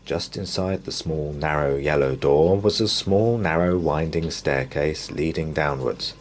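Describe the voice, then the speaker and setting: A man reading a bedtime story aloud to young children as a storyteller, with measured, drawn-out, expressive phrasing. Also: very deep voice, slight background noise.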